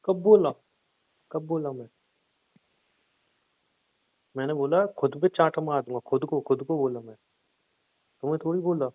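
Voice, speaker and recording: A man's voice: fairly high pitch, unhurried, pauses often, dry recording. Man playing stubborn husband, tinny phone sound. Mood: defensive. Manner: cajoling, repetitive, stubborn. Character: evasive, bossy husband stubbornly putting off his wife. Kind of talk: naturalistic audio drama argument.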